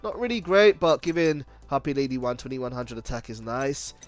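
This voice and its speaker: A man's voice: medium pitch, expressive. A man hosting an unscripted card game video, explaining things informally and often correcting himself as he goes. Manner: halting, self-correcting, informal. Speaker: video game deck profile host. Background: music.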